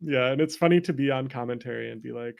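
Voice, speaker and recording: A man's voice: mid-range voice, fast talker, creaky, a little mellow, clear, resonant tone. Man, casual conversation, interview guest, playful, mock-sarcastic humor. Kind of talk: casual joking chat.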